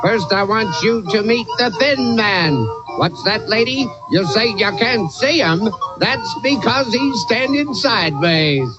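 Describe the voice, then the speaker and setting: A brash sideshow barker, declamatory radio comedy patter, muffled old recording w/ loud music behind. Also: male voice, high-pitched, lively intonation, a little slow, hardly any pauses. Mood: showy hawking. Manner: declamatory, brusque with hecklers. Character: brash male carnival sideshow barker, fast-talking showman.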